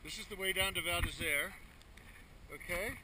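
A man's voice: high-pitched, moderate pace. Man narrating outdoors over wind noise. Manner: tour-guide pointing out sights while skiing. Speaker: skier filming a ski run. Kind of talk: casual running commentary. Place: outdoors on a snowy mountain ski slope. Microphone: helmet or pole-mounted action camera. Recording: wind and ski noise on action camera.

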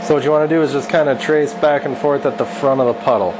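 A man's voice: mid-range voice, fast, background noise. Joking man explaining welding in a garage. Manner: joking, offhand, self-deprecating. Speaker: channel host teaching welding. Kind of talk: casual instructional chat. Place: home garage workshop, indoors.

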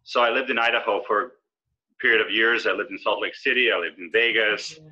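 A man's voice: fairly low pitch, fairly even intonation, sharp tone. Man in his forties from Serbia. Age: 40s. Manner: easygoing, measured and explanatory. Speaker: guest. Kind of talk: casual interview. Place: indoor room with brick wall. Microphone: video call webcam. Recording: slightly lo-fi video call audio.

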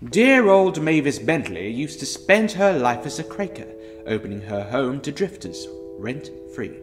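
A man's voice: mid-range voice, sing-song, unhurried. Guy doing theatrical wry ghost story narrator, voice goes up and down loads, music behind. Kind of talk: scripted audio drama narration.

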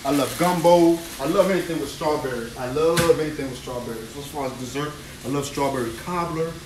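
A man's voice: fairly high pitch, fairly quick. A man, a chef chatting exuberantly while he cooks live in his home kitchen, repeating phrases as he goes. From New Orleans. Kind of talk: live cooking stream chat. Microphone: phone camera moved around the kitchen. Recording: echoey kitchen, phone mic at a distance, noisy frying. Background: frying and sizzling food.

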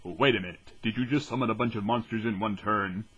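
A man's voice: lowish voice, fast, a bit bright, slightly rough, dull audio. A man voicing a confident, sarcastic teenage card duelist with a grown-up voice in a parody anime dub. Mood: incredulous. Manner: bold, sarcastic.

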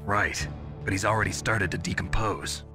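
A man playing a gruff, stoic captured soldier in a video game, terse and deadpan with a gravelly voice. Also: deep voice, normal speed, music in the background, natural voice. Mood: grim. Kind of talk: dramatic video game acting over radio calls.

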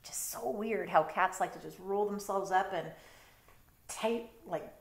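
A woman's voice: mid-range voice, measured pace, creaky. Middle-aged woman reading aloud, roomy echo. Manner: conversational, explanatory asides. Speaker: storytime reader, teacher. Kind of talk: picture book read-aloud with chatty asides. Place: bedroom at home.

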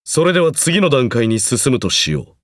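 A man's voice: mid-range voice, sing-song, moderate pace, no room sound, clean studio sound, close to an everyday voice. An actor playing a stern, pompous magecraft professor in a mobile game, speaking formally and condescendingly while grudgingly encouraging his student. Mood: measured approval. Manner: formal, condescending lecturer's delivery. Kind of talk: scripted game character lines.

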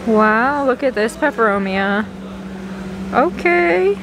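A woman's voice: fairly high pitch, expressive, slow. Chatty woman vlogging in a noisy store. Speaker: vlogger, host. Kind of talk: casual shopping vlog commentary. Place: large indoor garden center of a store. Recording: clear store background noise, ventilation hum.

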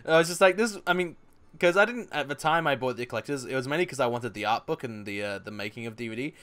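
A young man hosting a gaming channel, chatting casually while playing a co-op game, playful with loud bursts of laughter. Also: mid-range voice, expressive, fast talker. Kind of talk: casual co-op gameplay chat.

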